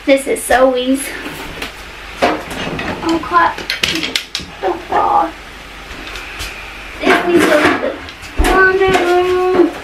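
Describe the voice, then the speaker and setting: A woman's voice: very high voice, drawn-out words, long pauses. A woman in her thirties with a Southern US accent, chatting casually and folksily to the camera while she cleans at home. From United States. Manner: folksy, colloquial. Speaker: vlogger. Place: indoors in a cluttered home hallway. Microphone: camera mic, arm's length to a few steps away. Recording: distant microphone with noticeable room noise.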